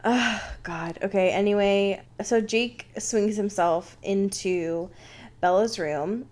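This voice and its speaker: A woman's voice: mid-range voice, slow, darkish tone. A woman co-hosting a casual comedic book discussion, joking, giggly and freely swearing. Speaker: podcast co-host.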